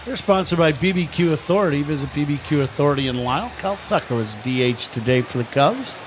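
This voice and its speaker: A man's voice: a little high, expressive, quick pace. A man giving conversational colour commentary on a live baseball radio broadcast. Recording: clear ballpark noise behind. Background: outdoor stadium ambience.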